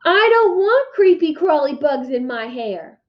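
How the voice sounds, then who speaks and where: Give this a high, insistent little-boy voice, acted out by a woman reading a storybook. The child is excitable and curious, pestering with drawn-out whiny questions. The recording is a bit dull. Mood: loud protest. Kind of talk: children's storybook read-aloud.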